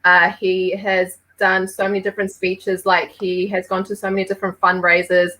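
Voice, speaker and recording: A woman's voice: mid-range voice, monotone, normal speed, sharp tone, low-quality recording. A woman from Peru, a podcast guest, talking casually with lots of fillers. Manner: chatty, many fillers, laughs easily.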